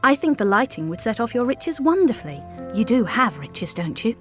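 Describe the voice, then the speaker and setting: A woman's voice: on the high side, very animated, moderate pace, music in the background. An actress voicing a fussy, condescending noblewoman in a video game. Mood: chirpy. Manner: genteel, chirpy, condescending. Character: prim, fussy noblewoman, house-proud and bossy. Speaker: game character.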